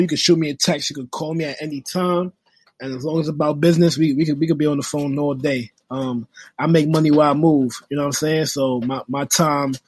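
A young man from New with a husky voice, a podcast guest talking earnestly and motivationally in casual slang. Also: a little high. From New York. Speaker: guest, music industry worker and forex trader.

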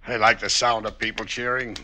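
An actor playing a vain, scheming, folksy state governor in an old-time radio drama. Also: male voice, lowish voice, little pitch movement, a little fast, sharp tone, soft background music. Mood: smug. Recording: noisy vintage radio recording.

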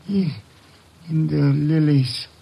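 An actor playing a mortally wounded shopkeeper, weakly gasping out words in an old radio drama, on a muffled, noisy recording. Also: male voice, a little high, slow, creaky, warm tone, slightly rough, exaggerated voice. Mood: dying, strained. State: weak, gasping, dying.

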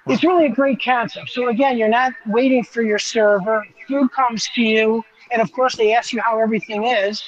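A man's voice: very high-pitched, steady tone, moderate pace, few pauses, dull audio, a little hiss or hum. A man giving an enthusiastic, conversational live walk-through as a restaurant reporter, explaining as he goes.

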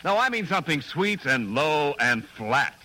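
A man's voice: on the high side, sing-song, unhurried, over loud music, low-quality recording. A man announcing a radio commercial in punchy 1940s sales style. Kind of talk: 1940s radio advertising read. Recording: old 1940s radio recording, light noise.

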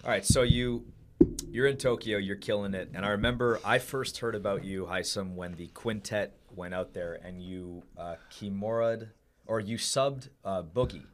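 A man with a very low voice co-hosts a podcast and chats loosely, his talk full of slang and fillers. There is a faint hiss behind him. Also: normal speed. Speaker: podcast co-host. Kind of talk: casual chat.

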